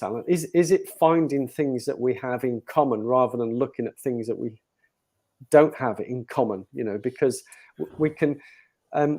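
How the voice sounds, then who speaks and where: Mellow-voiced Italian-born man chatting. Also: mid-range voice. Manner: rambling, many fillers and restarts. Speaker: host. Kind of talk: casual video call chat.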